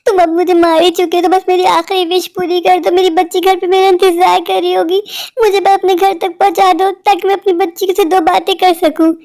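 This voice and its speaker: A woman's voice: very high voice, level tone, brisk, darkish tone. A woman voicing an anxious, plaintive poor father who sells eggs in a cartoon story. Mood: desperate. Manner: plaintive, imploring. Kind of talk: Hindi cartoon story acting.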